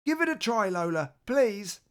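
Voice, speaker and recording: Woman playing a patient big brother, slow-paced. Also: medium pitch. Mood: coaxing. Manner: calm, coaxing, explaining. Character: patient, reasonable older brother, a young boy. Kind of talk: children's storybook read aloud.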